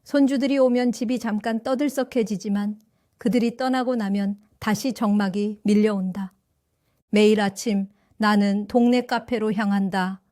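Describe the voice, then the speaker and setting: An older woman narrating her own story in an audio drama, calm and reflective. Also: medium pitch, moderate pace, no room sound, clean studio sound. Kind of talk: scripted first-person audio drama narration.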